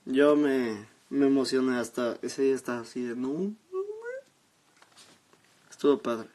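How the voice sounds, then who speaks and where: A seventeen-year-old young man with a Mexican accent, chatting casually and haltingly as he reads out and answers comments on a live stream from his phone. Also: medium pitch, fairly even intonation, darkish tone. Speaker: social media live streamer. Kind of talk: casual chat, reading out and answering viewer comments. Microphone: phone at arm's length.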